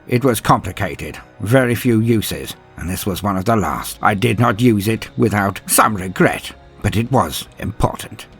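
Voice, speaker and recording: A man's voice: fairly low pitch, a bit bright, slightly rough, exaggerated voice. A man playing a grim, proud, dryly sardonic inquisitor, narrating a battle in a theatrical, brooding way as a first-person audio drama. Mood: tense, measured. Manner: theatrical, brooding, with weighty pauses.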